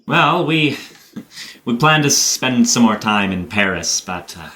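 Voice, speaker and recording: A man acting in an audio play as a playful, boyish young gentleman with an animated, bantering manner. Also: medium pitch, measured pace, slight background noise. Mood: cheerful, proud.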